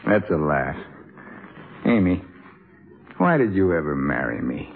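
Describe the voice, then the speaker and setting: A troubled young American musician in an old radio play, low and husky. He speaks haltingly, and the recording is boxy and vintage. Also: big pitch swings, heavily acted. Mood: weary, tender. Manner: halting, urgent, stammering. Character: troubled, weary young trumpet player husband. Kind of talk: 1950s radio drama acting. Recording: muffled, narrow vintage radio broadcast.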